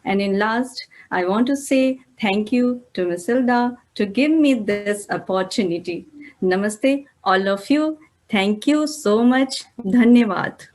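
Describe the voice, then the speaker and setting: Slow-speaking North Indian woman. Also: on the high side, a little mellow. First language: Hindi. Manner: unhurried, with hesitant fillers. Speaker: advanced ESL student. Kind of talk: prepared class presentation. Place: home room. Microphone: video call.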